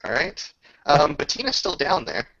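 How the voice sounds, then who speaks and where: Man, very creaky voice, lively pitch swings, game master, halting pauses, dull voice-chat sound. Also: no room sound. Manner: halting, pausing mid-sentence. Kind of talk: narrating a tabletop roleplaying game. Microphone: online voice chat.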